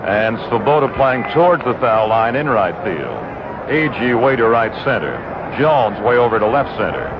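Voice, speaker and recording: A middle-aged man giving steady radio play-by-play of a baseball game, rising to excited calls on big plays. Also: medium pitch, moderate pace. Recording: muffled old AM radio broadcast with loud hiss.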